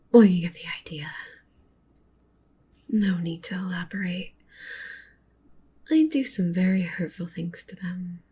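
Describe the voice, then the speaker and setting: Woman playing a breathy, possessive wolf witch girlfriend. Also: fairly low pitch, warm tone. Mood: possessive, menacing. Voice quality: breathy, often dropping into a whisper. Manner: cooing, doting, with possessive menace. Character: possessive, doting young wolf witch girlfriend, dominant and obsessive. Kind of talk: ASMR roleplay, intimate soft speech and whispering.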